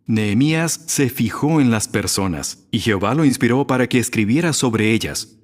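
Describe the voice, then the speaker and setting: A man delivering a prepared religious sermon in a measured, didactic manner. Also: medium pitch, animated. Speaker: religious speaker at a graduation.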